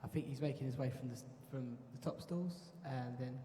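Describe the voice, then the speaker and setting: A man's voice: mid-range voice, fairly quick, warm tone, a little hiss or hum. A man moderating a convention panel Q and A, chatty and laughing often. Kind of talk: casual panel Q and A. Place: convention hall stage. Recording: echoey hall.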